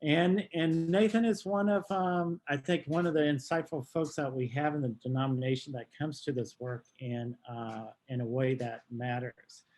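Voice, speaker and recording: Halting man introducing a speaker. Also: fairly high pitch, fairly even intonation, darkish tone. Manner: halting, deliberate, with frequent pauses. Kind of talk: informal spoken introduction at an online workshop. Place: online video call.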